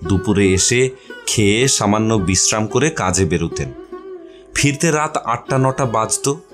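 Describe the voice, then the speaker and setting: A man's voice: lowish voice, animated, unhurried, music in the background. A man narrating a short story as a scripted audio reading.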